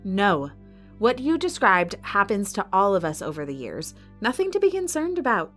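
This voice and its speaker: A woman's voice: mid-range voice, sing-song, moderate pace, fairly bright tone. A woman playing a composed, imperious villain who runs a fantasy fight arena in an audio drama. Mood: curt, assured. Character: cold, commanding female villain running a fantasy fight arena. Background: music.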